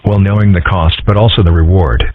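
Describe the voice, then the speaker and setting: Man as a husky, deadpan cartoon boy. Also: low-pitched, a little fast, dry recording. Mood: musing. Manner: deadpan, formal wording. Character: calm, deadpan, philosophical eight-year-old cartoon boy. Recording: tinny, phone-like audio.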